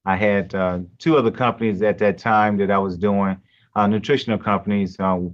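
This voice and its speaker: A man coach and host talking casually and haltingly, with many fillers and restarts. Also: on the low side, fairly even intonation. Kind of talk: casual live-stream introduction. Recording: muffled lo-fi sound.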